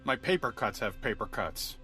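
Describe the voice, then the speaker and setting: A man's voice: mid-range voice, sing-song, normal speed, pushed and bright, soft background music. A man playing a chatty, droll, grumbling sidekick in a radio drama. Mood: wry, chatty.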